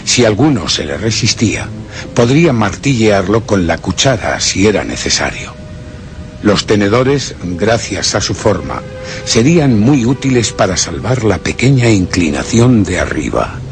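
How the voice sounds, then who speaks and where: A man acting a brooding, vengeful gentleman who narrates a suspense radio drama in a measured, theatrical way. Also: fairly low pitch, sing-song, soft background music. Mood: sly reasoning. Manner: measured, theatrical, deliberate storytelling.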